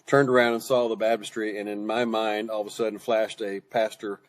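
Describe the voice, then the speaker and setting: Middle-aged man, lowish pitch, conversational storytelling, interview guest, pastor and author. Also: level tone, a little fast.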